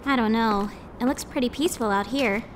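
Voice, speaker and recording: An actress playing a young, nervous, eager rookie policewoman in an audio drama, girlish and excitable. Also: a little high, fairly quick, a little hiss or hum, close to an everyday voice, poor audio.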